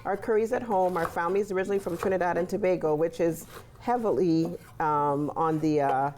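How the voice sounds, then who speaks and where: A woman's voice: lowish voice, fairly even intonation, brisk, a little mellow. Woman explaining her cooking over a sizzling pan. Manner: explanatory, chatty. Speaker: guest cook. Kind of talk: casual cooking demonstration. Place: TV studio kitchen. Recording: clear sizzling and stirring noise behind. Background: sizzling pan, stirring, clicks.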